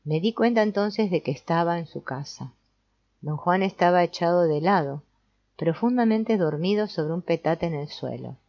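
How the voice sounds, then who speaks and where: Low-voiced woman narrating, dull sound. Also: expressive, moderate pace, no room sound. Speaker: audiobook narrator. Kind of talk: scripted audiobook narration.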